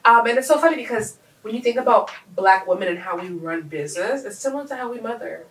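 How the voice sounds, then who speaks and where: A woman's voice: fairly high pitch, animated, fast talker, roomy sound, recorded from a distance, low-quality recording. A woman co-hosting a casual conversation, speaking emphatically and often jumping in with "hello" and "girl.".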